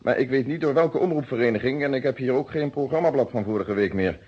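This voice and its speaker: An actor playing a methodical police inspector in a vintage radio play, with formal, precise phrasing and a Netherlands Dutch accent. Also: male voice, mid-range voice, fast talker, breathy edge, close to an everyday voice. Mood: polite, official. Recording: dull, muffled old radio recording.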